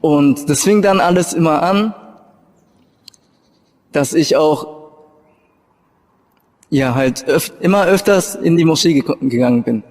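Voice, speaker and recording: Hesitant young man, fairly high voice. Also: unhurried, pauses often, a little mellow. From Japan and Germany. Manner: hesitant, searching for words. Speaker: convert telling his story. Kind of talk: informal personal testimony.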